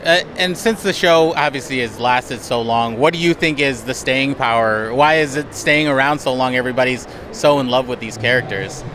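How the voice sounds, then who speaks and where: A man's voice: medium pitch, moderate pace, few pauses, bright, forceful tone, slight background noise. A man in his thirties conducting a casual fan interview in an informal, appreciative manner. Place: busy convention hall booth. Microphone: handheld interview microphone. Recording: busy convention crowd behind voice.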